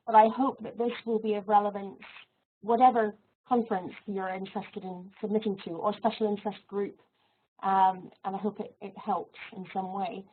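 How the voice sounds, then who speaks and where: A woman lecturer giving an online teaching webinar in a friendly, measured way, with soft laughs and fillers. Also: mid-range voice, slightly rough. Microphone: video call microphone. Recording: tinny phone-like audio.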